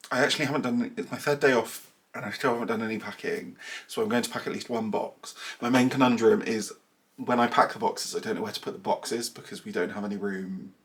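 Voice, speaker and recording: A man from southern England with a southern English accent, chatting casually to camera in a vlog at home, rambling with self-deprecating asides and sounding a little nasal and bunged up. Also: fairly low pitch. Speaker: knitting vlogger. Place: small cluttered room at home, indoors. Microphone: camera mic.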